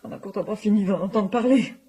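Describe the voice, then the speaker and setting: A woman's voice: medium pitch, fast talker, dark tone, slightly rough, natural voice. An actress playing a fretful, easily offended middle-aged mother in a radio drama, with a sardonic, complaining way of talking. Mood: reproachful, worried. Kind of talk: naturalistic French radio drama acting.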